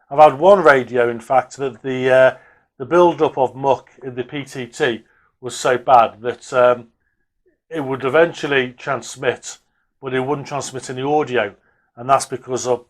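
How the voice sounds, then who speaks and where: A man presenting a hobby video, giving casual, unscripted workbench commentary in a hesitant way, as if thinking aloud. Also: mid-range voice.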